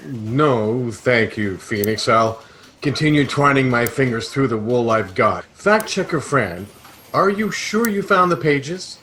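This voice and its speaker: A man playing an unflappable interstellar adventurer in a sci-fi satire, measured, polite and deadpan. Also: medium pitch. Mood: dry, composed. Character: unflappable, wry male interstellar adventurer detective. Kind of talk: comic sci-fi audio drama acting. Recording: slightly noisy home recording.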